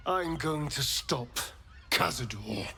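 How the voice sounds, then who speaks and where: An actor playing a proud, vengeful vampire rogue in a video game, with an arch, sneering, dramatic delivery. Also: male voice, mid-range voice, expressive, takes it slowly, sharp tone, slight background noise, full character voice. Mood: defiant.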